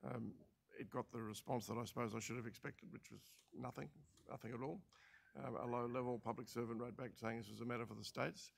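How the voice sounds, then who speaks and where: An older Australian man chairing a council meeting as administrator, speaking in a halting way with many fillers and restarts, into a desk mic in a large council chamber. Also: mid-range voice, steady tone, creaky. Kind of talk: formal meeting remarks.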